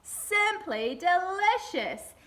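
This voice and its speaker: A woman voicing a huge, greedy crocodile from a picture book, croaking and drawing out the words. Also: extremely high pitch, sing-song, fairly bright tone, pitched above the performer's own voice, full character voice. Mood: gloating hunger. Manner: croaking, drawn-out delivery.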